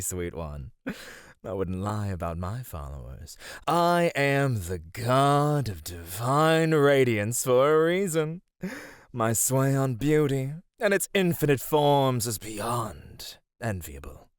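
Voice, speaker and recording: A man's voice: medium pitch, sing-song, slow, no echo, clean studio sound. A man voicing a flirty, vain male god of divine radiance in an ASMR roleplay, speaking in a teasing, affectionate way. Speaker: voice actor.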